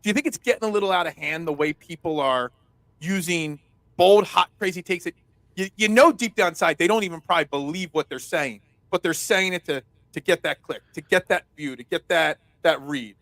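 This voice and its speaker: A man hosting a sports talk show, loud and chatty, telling rambling stories. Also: on the high side, brisk, dry recording. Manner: chatty, rambling storyteller with many restarts. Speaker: host. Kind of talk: casual sports talk interview.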